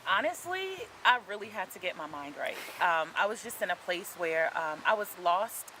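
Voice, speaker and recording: A woman's voice: lowish voice, sing-song, moderate pace, bright, forceful tone, a little hiss or hum, poor audio. A woman in her forties, an author, talking in a casual interview, earnest and reflective, with frequent ums as she gathers her thoughts. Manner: earnest, reflective, faith-centered storytelling with frequent "um".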